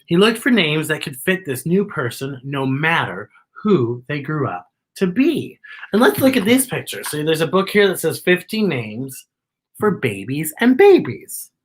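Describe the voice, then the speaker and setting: A children's story-time host reading a picture book aloud with expressive, exaggerated delivery and chatty asides to young listeners. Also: medium pitch, unhurried, husky.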